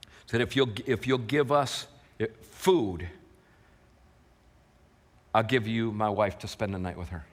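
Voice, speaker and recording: A middle-aged male pastor preaching a sermon as a story, shifting from loud impassioned shouting to quiet hushed telling. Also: lowish voice, lively intonation, a little slow, pauses often. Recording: long hall reverb.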